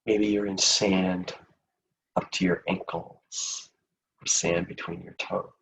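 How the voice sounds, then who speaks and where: A man leading a guided meditation in a gentle, soothing, unhurried manner with long pauses between phrases. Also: deep voice, level tone, creaky, far from the mic, low-quality recording.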